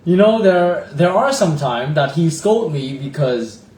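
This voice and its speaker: A man's voice: fairly high pitch, lively intonation, measured pace, a little mellow, reverberant, rough recording. A man co-hosting a casual chat, talking in a chatty, teasing way and often cutting in. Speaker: co-host.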